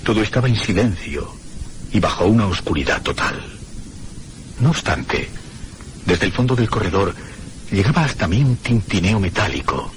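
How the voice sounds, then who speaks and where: An actor playing a frightened man who recounts a night of eerie terror in a suspenseful, theatrical radio drama narration with deliberate pauses. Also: fairly low pitch, lively intonation, slight background noise, natural voice. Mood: tense, hushed suspense.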